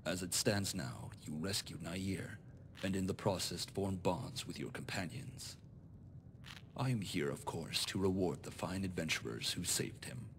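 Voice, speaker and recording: Man voicing a deep, gravelly scheming nobleman. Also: a little hiss or hum. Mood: cool, resolute. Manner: formal, measured, composed. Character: scheming illegitimate nobleman, calculating, resentful, self-made. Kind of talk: scripted video game dialogue.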